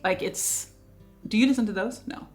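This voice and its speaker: A woman in her thirties with a Swedish accent, hosting a casual question-and-answer chat in a lively, talkative way and laughing often. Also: mid-range voice, normal speed, faint music behind. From Sweden. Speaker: vlogger host.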